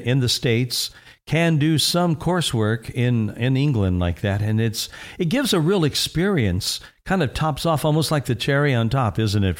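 A man hosting an interview in a casual, conversational way. His voice has a middle pitch, and he affirms the guest's answers before gently asking follow-up questions.

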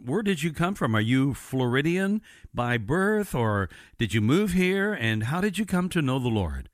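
Lively-voiced male interview host. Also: medium pitch, unhurried, no room sound, studio quality. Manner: friendly, short encouraging replies. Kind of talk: faith interview.

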